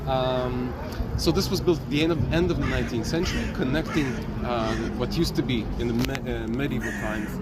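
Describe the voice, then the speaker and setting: A man leading a walking tour outdoors in a city, talking in a lively, rambling way with many fillers. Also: mid-range voice, fairly quick, very noisy. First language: not German. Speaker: tour guide. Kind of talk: live walking tour talk.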